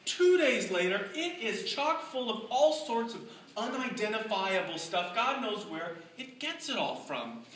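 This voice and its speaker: A middle-aged speaker telling a tall tale to a live audience in a deliberate, mock-scholarly way with dramatic pauses. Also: medium pitch, very animated, a bit bright, far from the mic. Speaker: speaker giving a humorous club speech. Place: indoor room with live audience. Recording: echoey room from afar, faint noise.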